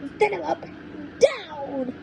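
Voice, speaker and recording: A woman plays a nasty little old grandmother from a storybook, pitched very high and swooping as she scolds. The delivery is bossy and emphatic, with audible noise on a homemade recording. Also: very animated, slow, creaky, heavily acted. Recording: noisy low-quality home microphone recording.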